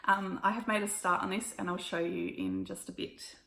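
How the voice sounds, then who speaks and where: An Australian woman with a croaky, hoarse voice, chatting casually through a stitching haul with lots of ums and little laughs. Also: fairly low pitch, sing-song, moderate pace, a bit bright, reverberant, a little hiss or hum. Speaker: cross-stitch vlogger. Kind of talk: casual show-and-tell recap.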